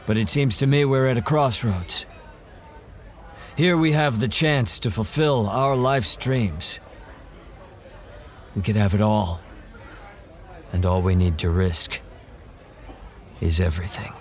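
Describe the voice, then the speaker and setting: A man's voice: fairly low pitch, takes it slowly, pauses often. An actor playing a hardened male monster hunter in an audio drama, blunt and earnest. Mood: resolute.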